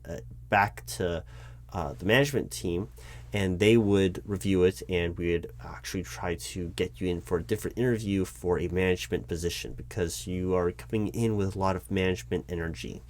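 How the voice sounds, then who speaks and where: A man playing a nervous, baffled corporate recruiting manager in an audio roleplay, polite and earnest with halting, stammering speech. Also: low voice, darkish tone, close to an everyday voice. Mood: polite, hesitant. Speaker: roleplay performer. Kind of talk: one-sided audio roleplay of a job interview.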